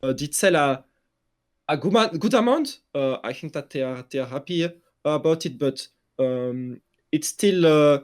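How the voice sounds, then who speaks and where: A man with a French accent, talking through esports news in a casual podcast discussion, halting often with fillers and restarts. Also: fairly high pitch, expressive, a little mellow. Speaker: esports journalist.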